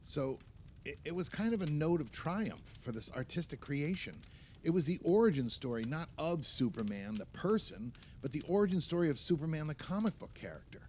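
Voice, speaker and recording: A man plays a nostalgic, opinionated film writer in an audio play. His pitch moves in lively swings as he holds forth emphatically to friends, pausing to find words. There is faint noise behind him. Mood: storytelling, proud. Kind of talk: naturalistic audio play dialogue.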